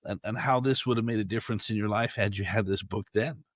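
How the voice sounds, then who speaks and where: A man's voice: on the low side, quick pace, no echo. A man with an American accent, hosting a podcast in a conversational discussion. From United States. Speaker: podcast host.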